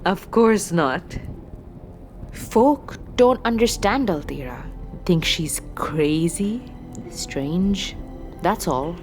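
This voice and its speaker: Slow-spoken eccentric fortune teller witch. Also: lowish voice, lively intonation, a little mellow, faint music behind. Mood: cryptic, musing. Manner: speaks of herself in third person, halting and cryptic. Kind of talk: fantasy audio drama acting.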